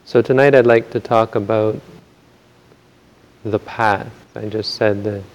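A man giving a Buddhist Dhamma talk in a very quiet voice, gentle and hesitant, with frequent pauses and restarts. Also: fairly low pitch, steady tone, poor audio. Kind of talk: soft-spoken Dhamma talk.

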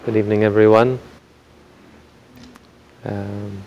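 A man giving a Buddhist Dhamma talk in a very quiet voice, gentle and hesitant, with frequent pauses and restarts. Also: on the low side, flat delivery, dull audio. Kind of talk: soft-spoken Dhamma talk.

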